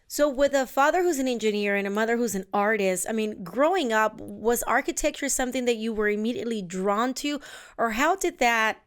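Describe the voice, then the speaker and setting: A woman with a high voice co-hosts a podcast. She asks her guest questions in a friendly, curious way, keeping the conversation casual. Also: a little fast, clear, resonant tone. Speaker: podcast co-host.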